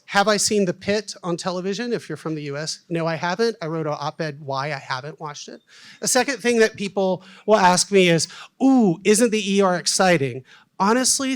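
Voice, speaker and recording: A man's voice: high voice, a bit bright. A man in his forties with a Southern American accent, moderating a conference panel in a folksy, self-deprecating way with plenty of fillers. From United States.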